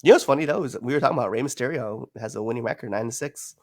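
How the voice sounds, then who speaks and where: A man's voice: medium pitch, racing, no room sound, clean studio sound. A man co-hosting a wrestling podcast, trading jokes in loose banter, laughing often and swearing freely. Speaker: podcast co-host.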